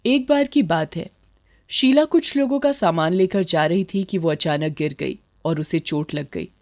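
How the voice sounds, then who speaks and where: A woman's voice: fairly low pitch, unhurried. A woman narrating a scripted moral bedtime story as a storyteller.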